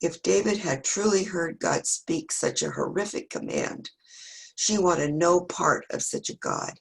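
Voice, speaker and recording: Husky, expressive woman reading scripture narrative. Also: on the low side, unhurried, rough recording. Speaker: church reader. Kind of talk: scripted dramatic narration of a Bible story.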